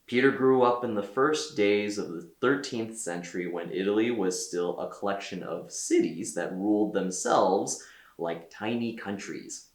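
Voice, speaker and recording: A man reading a children's story aloud as a storyteller, with theatrical delivery and deliberate pauses. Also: fairly low pitch, echoey room. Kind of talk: expressive read-aloud narration for children.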